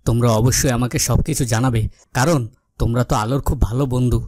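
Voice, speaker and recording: An actor playing a worried, protective cartoon king who speaks in a formal, measured, authoritative way. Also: medium pitch, fairly even intonation, a little mellow, dry recording, studio quality, natural voice. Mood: questioning. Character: worried, protective fairytale king, stately and questioning. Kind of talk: dubbed cartoon fairytale acting.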